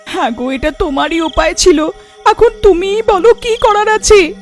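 A woman's voice: extremely high pitch, lively intonation, normal speed, soft background music, pitched above the performer's own voice. A woman voicing a frightened, scolding village wife in a horror cartoon, wailing and pleading. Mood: anxious, reproachful.

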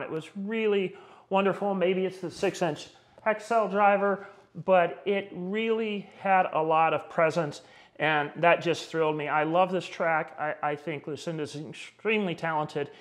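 A middle-aged man giving a conversational, somewhat halting review of audio equipment. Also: a little high.

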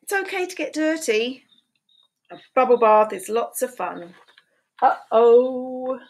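Higher-pitched woman reading picture books. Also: expressive. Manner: slow, sing-song storytime delivery with questions to children. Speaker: children's book reader. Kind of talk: picture-book read-aloud for preschoolers. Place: small room at home. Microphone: webcam at desk.

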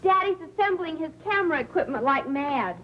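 A woman's voice: high voice, lively intonation, a little fast, highs cut off. A woman playing a naive, wide-eyed teenage schoolgirl in a 1950s sitcom, earnest and eager. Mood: innocent, eager. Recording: slightly noisy vintage television soundtrack.

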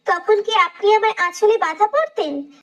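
A woman voicing a feisty, playful young woman, a sheltered daughter, talking cheekily and dramatically. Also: extremely high pitch. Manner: cheeky, dramatic, quick-tongued. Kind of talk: romantic audio story acting.

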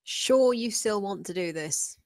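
A woman playing a composed, guarded schemer in a script table read, with a calm, controlled delivery. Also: mid-range voice, measured pace, no echo, studio quality.

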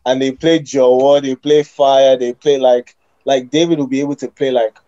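A man's voice: medium pitch, flat delivery, normal speed, dark tone, dull audio. A young man hosting a casual podcast over a video call, posing long, probing questions and restarting mid-thought. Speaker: podcast host. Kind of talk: casual debate among friends.

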